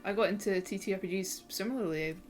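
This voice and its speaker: A woman's voice: medium pitch, fast talker, darkish tone, soft background music. A woman hosting a podcast interview in a casual, conversational style. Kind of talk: casual chat.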